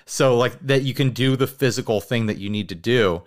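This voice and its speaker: A man chatting casually as a podcast co-host, telling stories in an animated way and slipping into mock quotes. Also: mid-range voice, a little fast. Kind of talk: casual chat about hobby gear.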